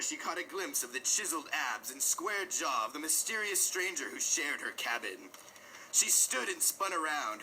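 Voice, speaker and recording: A man giving a mock-dramatic, overwrought reading of parody romance fiction, with drawn-out emphasis. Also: on the high side, creaky, sharp tone, a little hiss or hum, rough recording. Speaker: reader of a comic dramatic reading.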